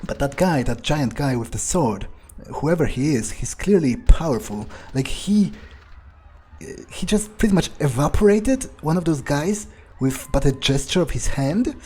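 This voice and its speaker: Husky, mellow man thinking aloud while gaming. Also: on the high side, animated, a little slow. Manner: hesitant, repeats words, swears casually. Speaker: gamer doing in-character playthrough. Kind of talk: improvised in-character game commentary, thinking aloud.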